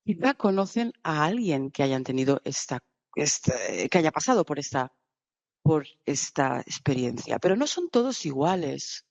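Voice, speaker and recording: A woman giving live conference interpretation, halting at times with restarts and bursts of speed. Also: low voice, sing-song, a little mellow, dry recording, highs cut off. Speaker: simultaneous interpreter.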